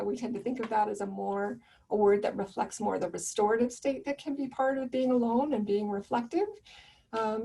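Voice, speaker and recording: Soft-spoken woman presenter, gentle and reflective, slightly high voice, a bit distant from the computer mic. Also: creaky. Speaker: webinar presenter on loneliness. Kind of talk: webinar presentation.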